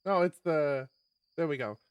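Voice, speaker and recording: A mid-pitched streamer reads a visual novel aloud and chats between passages. The delivery is animated, rambling and sweary, recorded dry and close. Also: measured pace, clear, resonant tone, no echo, dull audio. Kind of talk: casual live game stream banter and narration.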